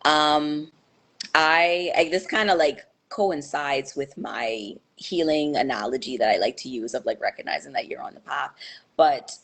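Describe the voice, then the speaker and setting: A woman's voice: low voice, a little slow, a bit bright. A young woman answering interview questions in a thoughtful, hesitant way, with many fillers and restarts. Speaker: guest.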